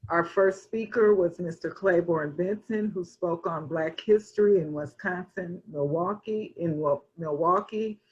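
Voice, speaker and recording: Husky woman on a muffled call. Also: lowish voice, unhurried, few pauses. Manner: announcing schedule, formal welcome. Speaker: student program manager. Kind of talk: webinar announcements. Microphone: video call.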